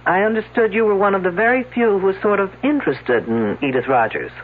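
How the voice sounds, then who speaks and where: An actress playing a sharp-tongued woman detective with a dry, sarcastic way of talking. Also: medium pitch, animated, a little fast, a little hiss or hum, natural voice. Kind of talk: 1970s radio drama acting. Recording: tinny old radio broadcast.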